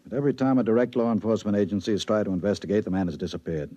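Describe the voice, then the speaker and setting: A man playing a steady bureau chief giving a briefing, in 1950s radio drama style. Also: fairly low pitch, racing, close to an everyday voice. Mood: matter-of-fact. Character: steady male bureau chief briefing his trusted investigator. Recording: muffled vintage 1950s radio recording.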